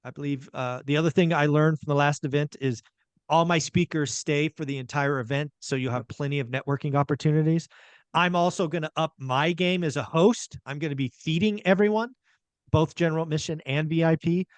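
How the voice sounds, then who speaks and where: A man's voice: on the high side, fairly even intonation, fairly quick, smooth, dry recording. A man hosting a podcast, talking in an upbeat, promotional way about his event. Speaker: podcast host, event organizer.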